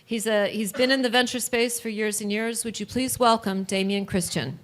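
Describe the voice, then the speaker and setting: A woman's voice: a little high, steady tone, normal speed, background noise. A woman hosting an event, introducing the next speaker with a hesitant, filler-laden delivery. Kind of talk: stage introduction.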